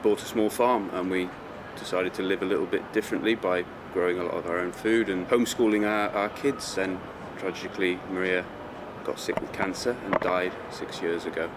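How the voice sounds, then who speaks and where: Low-voiced, hesitant man. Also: fairly even intonation, brisk, background noise. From Chester, north-west England. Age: middle-aged. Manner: hesitant, repeats words while searching for phrasing. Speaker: interview guest. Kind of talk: reflective interview answers.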